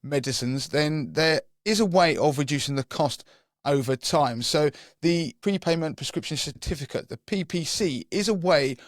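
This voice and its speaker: A man whose voice is hoarse and croaky after losing it. He talks casually and explains things step by step, with the odd restart. The recording is close and dry, with no room sound. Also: a little high, normal speed, no echo. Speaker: podcast co-host, personal finance expert.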